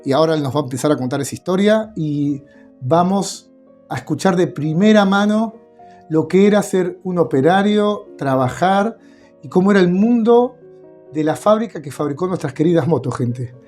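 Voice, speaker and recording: A man's voice: sits high, lively intonation, normal speed, a little mellow, soft background music. A man hosting a casual interview, enthusiastic and chatty. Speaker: host, interviewer.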